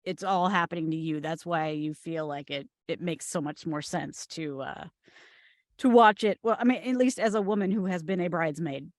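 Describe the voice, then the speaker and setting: Woman, lowish voice, casual wry chat, no room echo at all. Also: moderate pace, clean studio sound. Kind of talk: casual group discussion.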